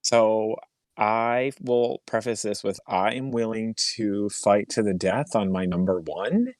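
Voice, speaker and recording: Man, podcast co-host, lowish voice, measured and hedging, dry close sound. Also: a little mellow, dry recording. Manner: measured, hedging, many fillers. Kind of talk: casual chat.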